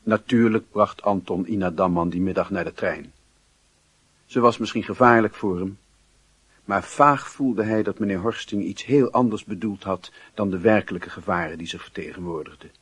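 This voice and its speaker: A man's voice: lowish voice, moderate pace, a bit bright, slightly rough, no echo. A man reading scripted literary narration for a radio drama.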